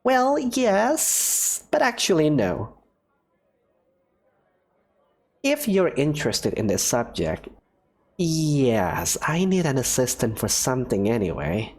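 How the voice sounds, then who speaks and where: A cheerful woman professor with a secretive streak, as a voice actor plays her. Her lively voice swoops up and down in a breezy, teasing delivery. She sounds like a character from a fan-made visual novel. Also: mid-range voice, takes it slowly, heavily acted.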